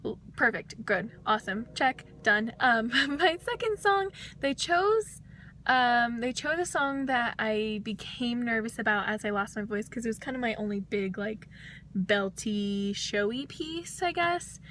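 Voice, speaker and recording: A young woman talking casually to the camera, slightly hoarse after singing, rambling with fillers and small laughs. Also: a little high, expressive, measured pace, a bit bright, a little hiss or hum. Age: young adult. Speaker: vlogger, voice student. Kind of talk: casual vlog talk to camera.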